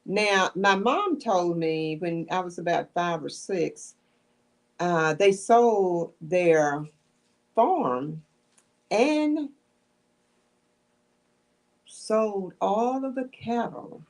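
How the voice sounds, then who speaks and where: Older woman, author guest: slow, soft-spoken, lively intonation. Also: on the low side. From Texas. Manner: soft-spoken, unhurried storytelling. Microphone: handheld microphone.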